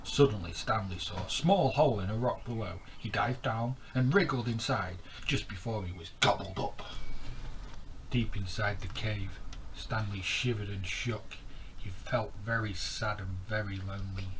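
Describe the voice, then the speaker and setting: A man reading a children's bedtime story aloud in an expressive, theatrical narrating style. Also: lowish voice, moderate pace, a little hiss or hum, rough recording.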